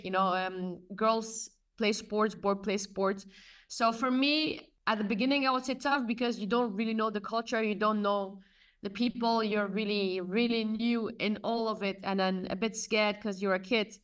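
A woman's voice: medium pitch, a bit bright, smooth, far from the mic. A woman in her thirties from Afghanistan, a guest answering on a video call from a room at home, telling her story earnestly. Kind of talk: conversational interview answers.

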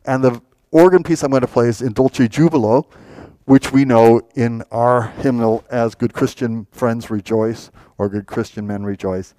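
A man's voice: medium pitch, steady tone, moderate pace, no room sound, low-quality recording. Chatty older man introducing an organ concert. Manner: chatty, enthusiastic, warm asides. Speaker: organist and composer. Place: large wooden church interior. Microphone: clip-on lapel mic.

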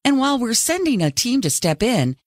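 A woman's voice: fairly high pitch, very animated, a little fast, no room sound, studio quality. A woman acting a dramatic overseer of a reality competition show in a video game. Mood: ominous, stern.

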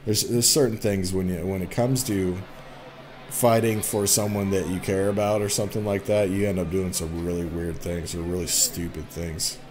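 A man's voice: low voice, flat delivery, normal speed. A young man giving casual, informal let's play commentary and reading game dialogue aloud. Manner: informal, reacts with exclamations. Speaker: gaming YouTuber. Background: game music.

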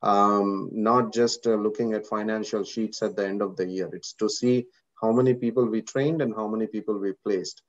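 A man's voice: lowish voice, fairly even intonation, normal speed, highs cut off. A man with an Indian accent, a course instructor giving an earnest motivational talk to students on a video call, repeating words and using many fillers.

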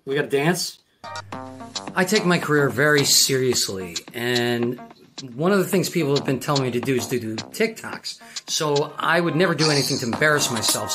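A man's voice: mid-range voice, rough recording. A man hosting a comedic video-call show, joking, protesting and laughing easily. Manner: playful, protesting, quick to laugh. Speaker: host.

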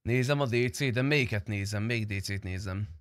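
A man's voice: lowish voice, no room sound, studio quality. A young man chatting casually on a game livestream in informal, slangy, sometimes profane speech. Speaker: game streamer.

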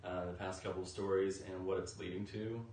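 Male interviewee, thirties, low-pitched, informal chat, distant mic with faint background noise. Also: flat delivery, brisk, a little mellow, roomy sound. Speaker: interview guest, novice podcast voice actor. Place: indoors on a sofa in a living room.

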